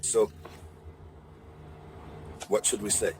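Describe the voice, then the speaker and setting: A man hosting an online political news analysis, speaking deliberately to viewers and repeating key phrases. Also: fairly low pitch, level tone, noisy background.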